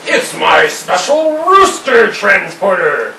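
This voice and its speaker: A man's voice: sits high, sing-song, slow, vocal fry, recorded from a distance, full character voice. Man doing a jolly salesman pig. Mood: scheming. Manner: salesman patter, chuckling. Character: jolly, pushy pig shopkeeper. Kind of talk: amateur family puppet show. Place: indoor room with a puppet stage. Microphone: far camera. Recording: roomy noisy home video from afar.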